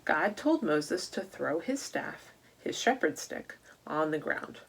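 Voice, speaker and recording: A woman reading a Bible story aloud to children in a deliberate way, posing questions to young listeners as she goes. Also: sits low, sing-song, vocal fry, breathy edge. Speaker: children's storytime reader at a church.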